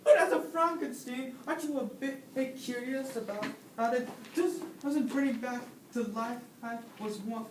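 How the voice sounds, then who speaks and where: A man playing an earnest, persistent student in stage comedy, with a halting but insistent manner. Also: extremely high pitch, background noise. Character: earnest, persistent student challenging his professor. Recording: roomy, distant microphone.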